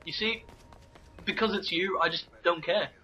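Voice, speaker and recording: Bright-voiced English man. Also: high voice, normal speed, muffled recording. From England. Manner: ranting, joking, tells anecdotes. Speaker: co-host. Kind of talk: casual gaming commentary chat.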